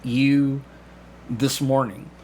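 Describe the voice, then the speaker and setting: A man speaking as a podcast guest, explaining things casually in a halting way with repeated words and false starts. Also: medium pitch, little pitch movement, a little mellow. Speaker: podcast guest, community theatre actor. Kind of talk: casual conversational explanation with anecdotes. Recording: clear background noise with room ambience.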